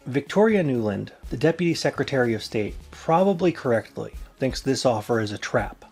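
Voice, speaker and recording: A man's voice: mid-range voice, a little mellow, husky. Man narrating a scripted explainer. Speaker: presenter.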